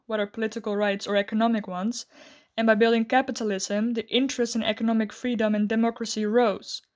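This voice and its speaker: A woman host giving a scripted, measured explanation and then asking interview questions. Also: on the high side.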